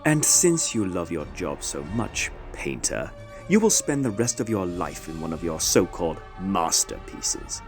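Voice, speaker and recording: A man playing a sarcastic, bullying authority figure in a fan-dubbed drama, with a gravelly, rough voice and mocking, theatrical delivery. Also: low voice, big pitch swings, measured pace, over music, exaggerated voice. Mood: menacing. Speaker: voice actor in a fan dub.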